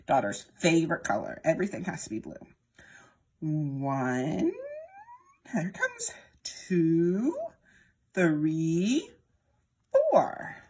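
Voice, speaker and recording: Woman leading storytime, very lively pitch. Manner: sing-song, prompting young viewers with questions. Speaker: children's storytime presenter at a library. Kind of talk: children's lesson with repetition and demonstration.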